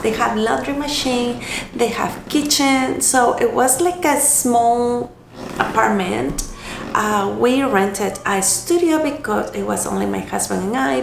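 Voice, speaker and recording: Young woman from Costa Rica, native Spanish, hoarse and raspy from a cold, unhurried to-camera vlog chat in an echoey room with faint hiss. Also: a little high. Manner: hesitant, self-correcting, unhurried. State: hoarse from illness. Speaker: vlogger.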